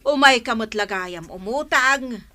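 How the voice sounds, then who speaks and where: Bossy village councilwoman acted by a woman, incredulous, fairly high, crisp bright tone, radio comedy. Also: expressive, a little slow. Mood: chiding. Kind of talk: comedic radio drama acting.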